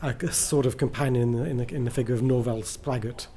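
Mellow-voiced man, rambling enthusiastically. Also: medium pitch, fairly even intonation, quick pace, slight background noise. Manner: enthusiastic, rambling, self-interrupting. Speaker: writer being interviewed. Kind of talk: casual interview talk.

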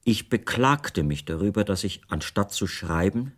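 Low-voiced, reflective male writer narrating. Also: animated. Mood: recollecting. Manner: measured, precise, formal. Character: reflective male writer, a foreign guest recounting his disappearance. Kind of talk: literary radio drama first-person narration.

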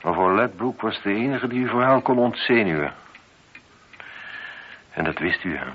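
Brief: male actor as authoritative, probing police inspector, low register, radio drama on a dull old recording with noise. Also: expressive, normal speed, close to an everyday voice. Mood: pressing, accusing. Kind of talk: radio play acting.

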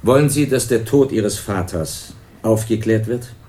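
An actor playing a calm, formal police investigator in a radio crime drama, measured and official. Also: male voice, on the low side, natural voice. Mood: pressing. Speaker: police detective.

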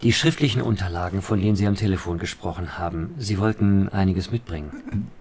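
Radio play negotiator: lowish, creaky, courteous, businesslike, quietly probing. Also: male voice, brisk, slight background noise. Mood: polite, probing. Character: polite, probing male negotiator interested in scientific papers. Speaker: actor in a radio drama.

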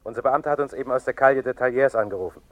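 An actor playing a brisk police station officer reporting in a businesslike way in a 1950s radio play. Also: male voice, medium pitch, dry recording, lightly acted. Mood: urgent, businesslike. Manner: hurried, businesslike.

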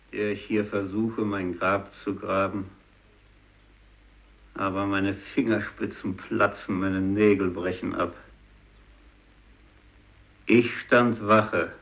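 A grave, doomed fisherman in a radio play. He speaks very slowly and flatly in a low voice. The recording sounds dull. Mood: grave, desolate. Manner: slow, solemn, flat. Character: grave, doomed fisherman digging his own grave. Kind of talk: radio drama monologue.

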